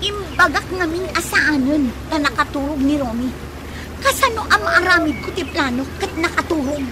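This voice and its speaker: Very high-pitched, urgent young woman in peril. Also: lively intonation, moderate pace. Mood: agitated, scheming. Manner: urgent, exclaiming. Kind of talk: radio drama acting. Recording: noisy outdoor sound effects, crowd behind.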